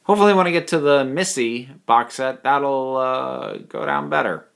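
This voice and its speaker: A man hosting a casual video review, talking to viewers in a halting, thinking-aloud way with emphatic pauses. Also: medium pitch, creaky, fairly bright tone, clean tone. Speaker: video reviewer and channel host.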